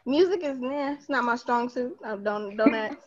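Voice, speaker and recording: A young woman chats on a podcast, playful and quick to giggle. Her voice sits high. The recording is a little muffled. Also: animated, slight background noise. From North Carolina, USA. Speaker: podcast co-host. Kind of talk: casual chat.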